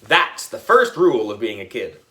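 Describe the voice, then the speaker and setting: Male children's audiobook reader: playful and exaggerated, very lively pitch, bright delivery. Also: normal speed. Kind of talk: animated children's story narration.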